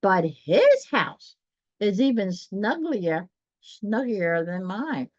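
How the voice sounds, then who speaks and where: A woman voicing a kindly, motherly field mouse in a children's storybook, coaxing with drawn-out, emphatic words. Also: mid-range voice, big pitch swings, darkish tone, dry recording, muffled recording. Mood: coaxing, gushing. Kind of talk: children's storybook read-aloud.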